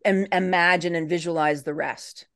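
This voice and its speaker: A woman's voice: fairly low pitch, fairly even intonation, moderate pace, a bit bright, no echo. A woman speaks in a very dry, close recording with no room sound. She is a guest in a casual interview, explaining her craft step by step in a conversational way. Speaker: actress, podcast guest.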